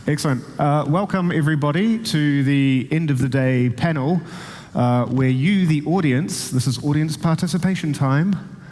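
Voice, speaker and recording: A man with a New Zealand accent moderating a conference panel in a joking, self-deprecating, brisk way. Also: fairly high pitch, darkish tone. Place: large conference auditorium. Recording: long hall reverb.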